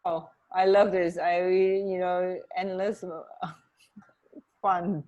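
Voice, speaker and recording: Slow-talking woman in casual radio chat. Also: lowish voice, flat delivery, darkish tone, dull audio. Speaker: radio co-host.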